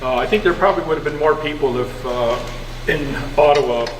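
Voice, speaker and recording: A man's voice: on the high side, brisk. A man hosting a community meeting, introducing speakers in a casual, joking way. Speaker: meeting host. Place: community recreation hall. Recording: distant, echoey hall recording with background hum.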